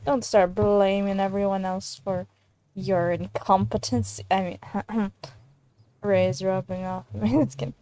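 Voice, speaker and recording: A woman's voice: mid-range voice, steady tone, takes it slowly, pauses often, mellow, low-quality recording. A giggly, apologetic woman chatting casually as she streams a game from home. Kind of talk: casual chat while playing a game. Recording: home mic with clear fan and TV noise.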